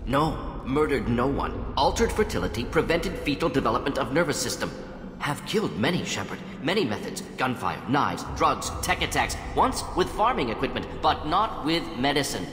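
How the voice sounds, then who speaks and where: A man's voice: mid-range voice, very animated, moderate pace, a bit bright, a little hiss or hum. A male actor playing a proud, conflicted alien scientist in a video game, speaking in clipped, telegraphic phrases with small words dropped. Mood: defensive, emphatic. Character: fast-thinking alien scientist doctor, proud, conflicted, defensive. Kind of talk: dramatic video game dialogue. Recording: echoey hall reverb, music behind.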